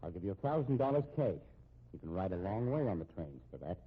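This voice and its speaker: An actor playing an anxious, respectable American husband in a 1950s radio drama, speaking in a measured, pointed way. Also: male voice, deep voice, very animated, husky, full character voice. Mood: pleading, reasoning. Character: respectable, anxious, shrewd husband held hostage at home. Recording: muffled, tinny old radio recording.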